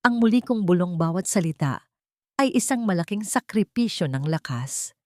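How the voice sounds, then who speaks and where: A woman's voice: on the low side, animated, normal speed, darkish tone, no room sound. A woman reading a scripted first-person story in a dramatic storytelling style. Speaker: story narrator.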